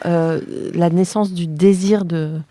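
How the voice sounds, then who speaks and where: A woman's voice: on the low side, fairly even intonation, slow, mellow, no echo. A woman hosting the interview, asking brief prompting questions, heard a bit off mic. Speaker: podcast host, interviewer.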